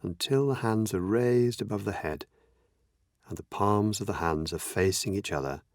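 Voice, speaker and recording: Low-voiced man gently guiding a meditation. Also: no room sound, studio quality. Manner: gentle, measured, unhurried with long pauses. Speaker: meditation teacher. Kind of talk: guided meditation, slow spoken instructions.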